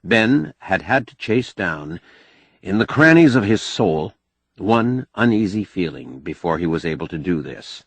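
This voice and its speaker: Male fiction narrator: unhurried, expressive storytelling, slightly low husky voice, close dry sound. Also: no echo. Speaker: audiobook narrator.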